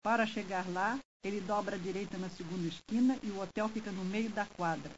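Measured female drill reader, muffled hissy tape. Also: medium pitch, steady tone. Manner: measured, clearly enunciated. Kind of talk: scripted language-lesson reading.

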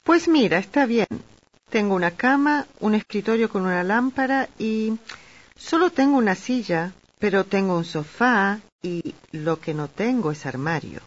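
A woman's voice: mid-range voice, lively intonation, a little slow. Woman in a language-course dialogue, lo-fi. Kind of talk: scripted dialogue for a language lesson. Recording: dull lo-fi tape audio.

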